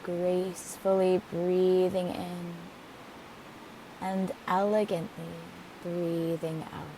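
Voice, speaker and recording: A woman leading a guided meditation in a gentle, soothing manner with deliberate phrasing. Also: on the low side, low-quality recording. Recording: steady hiss behind the voice. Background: hiss, rushing waterfall water.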